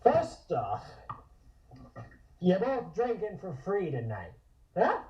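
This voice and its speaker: A woman playing a very drunk, friendly man, loud, slurred and over-familiar, in a table read. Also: on the low side, huge pitch swings, slow, reverberant, far from the mic, lo-fi sound, heavily acted. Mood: drunk, generous. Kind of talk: comic script table read.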